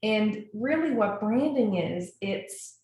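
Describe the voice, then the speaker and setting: A woman from Nova Scotia with a Canadian accent gives an informal webinar presentation in an earnest, enthusiastic way, pausing often as she goes. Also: medium pitch, lively intonation, darkish tone. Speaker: webinar presenter, brand strategist. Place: indoor echoey room, online webinar. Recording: very echoey room, faint noise.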